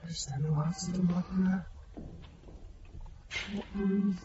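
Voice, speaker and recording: A condemned man speaks slowly in a fairly high voice, tormented and pleading. His halting, prayerful radio drama delivery comes through slightly dull audio. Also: steady tone, over music. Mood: panicked despair. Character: condemned man on the gallows, desperate, praying, tormented. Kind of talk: radio drama acting with inner monologue.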